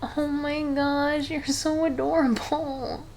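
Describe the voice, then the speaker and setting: A woman's voice: sits high, little pitch movement, slow, a little mellow, slight background noise. A woman playing a cheerful, affectionate succubus girlfriend in an ASMR roleplay, doting and teasing as she speaks intimately to her partner. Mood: excited, playful. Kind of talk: ASMR roleplay, scripted monologue to the listener.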